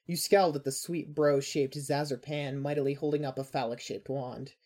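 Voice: medium pitch, steady tone, moderate pace, a little mellow. A podcast narrator reading a scripted second-person story aloud. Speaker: narrator of a fan fiction podcast.

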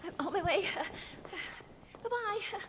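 A woman's voice: extremely high pitch, expressive, unhurried, whispered. An actress playing a timid, deferential peasant woman in a medieval audio drama, stammering nervously. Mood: flustered, servile. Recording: long reverberant hall.

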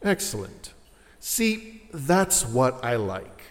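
An actor playing a condescending, bullying restaurant boss in an audio drama, mocking and domineering. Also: male voice, mid-range voice, big pitch swings, takes it slowly, big-room sound. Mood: smug, domineering.

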